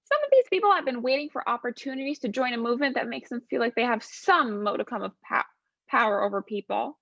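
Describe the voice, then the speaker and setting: A snarky, smug demon played by a woman rambles through a comic stage monologue. The voice is fairly high and casually sardonic. The recording is dry and somewhat dull and lo-fi. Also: animated, quick pace, gravelly, a bit bright, dry recording, full character voice.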